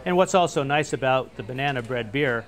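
A man in his fifties, a cafe owner, talking in a relaxed, friendly way in an interview. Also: medium pitch, brisk. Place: cafe with rustic walls, indoors.

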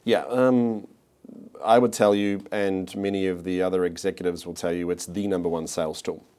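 A man in his forties speaks with a New Zealand accent in a very deep, low voice. He answers questions in a corporate interview, pausing with fillers and restarting his sentences often. He is recorded seated on a studio set with a clip-on mic. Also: fairly even intonation, darkish tone. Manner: hesitant, many restarts and fillers. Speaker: marketing executive.

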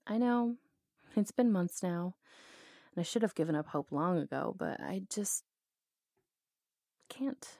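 A bold outlaw cowgirl played by a woman speaks low and mellow, very close and dry on the mic. She is sardonic, flirtatious and lovelorn, in the intimate style of an ASMR audio roleplay. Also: expressive, unhurried, creaky, long pauses, no echo, full character voice. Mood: tender, longing.

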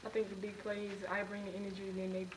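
A woman's voice: mid-range voice, monotone, racing, recorded from a distance, background noise. A young woman college basketball player answering questions after a game, shy and hesitant. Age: young adult.

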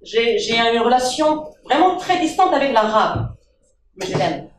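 A woman's voice: fairly high pitch, big pitch swings, a little slow, slight background noise. A middle-aged woman speaking from the audience at a public debate, emphatic and questioning, into a handheld mic. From Morocco. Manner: emphatic, questioning, with rhetorical asides. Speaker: audience member. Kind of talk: impassioned debate contribution. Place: crowded indoor meeting room. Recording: echoey room from far off.

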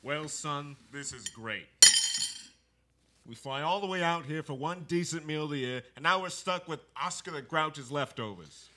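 A man's voice: a little high, lively intonation, moderate pace, bright, forceful tone. A man playing a grumpy, sarcastic middle-aged father in an amateur radio play. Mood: disgruntled. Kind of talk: amateur live radio drama acting. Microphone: microphone on stand.

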